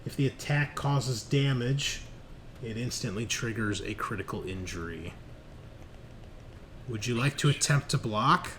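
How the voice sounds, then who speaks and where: A man acts as game master for a tabletop horror game, at a middle pitch. He switches between casual rules calls and dramatic scene description, swearing freely as he goes. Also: measured pace, long pauses, fairly bright tone, slight background noise. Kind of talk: running a tabletop roleplaying game, casual narration.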